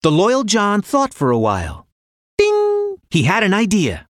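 A man's voice: high voice, huge pitch swings, unhurried, no room sound, clean studio sound. A man narrating a children's fairy tale in a dramatic, playful storytelling manner. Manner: dramatic, playful sound words.

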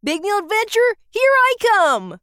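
A woman's voice: very high voice, very animated, measured pace, a bit bright, no room sound, studio quality, exaggerated voice. A woman voicing a playful, childlike balloon boy who loves adventure, with bubbly exclamations, in a children's audio storybook. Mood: excited. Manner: exclamatory, bubbly, sighing and giggling.

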